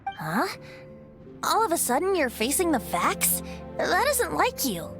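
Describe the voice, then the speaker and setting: A woman's voice: high voice, huge pitch swings, measured pace, over music, heavily acted. A woman voicing a breezy, teasing young male bard with a knowing manner in a fantasy game. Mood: wry, curious. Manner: light, knowing, playful.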